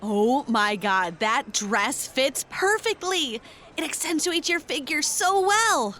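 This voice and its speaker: A woman playing an excitable, casual sidekick in an audio drama, expressive and slangy. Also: sits high, slow, slight background noise, full character voice. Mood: excited, friendly. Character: Cat, excitable, casual, easily amazed female sidekick. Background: music.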